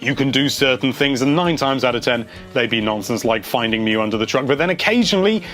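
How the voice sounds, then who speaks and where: A man's voice: mid-range voice, expressive, fast talker, a bit bright, faint music behind. A man hosting a casual video, reading out viewer comments and chatting about them in a rambling, enthusiastic way.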